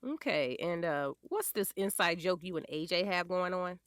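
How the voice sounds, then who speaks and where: A woman's voice: fairly low pitch, moderate pace, no room sound, studio quality, natural voice. A woman playing a doting but firm wife and mother in her forties, teasing and sassy, in a naturalistic audio drama. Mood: playfully sassy.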